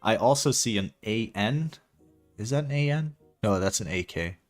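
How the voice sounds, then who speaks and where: A man's voice: on the low side, expressive, normal speed, soft background music. A young man from Belgium whose first language is not English, chatting casually and rambling, swearing now and then, as he walks viewers through a map he is building. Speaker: streamer building a game map. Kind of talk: casual livestream commentary.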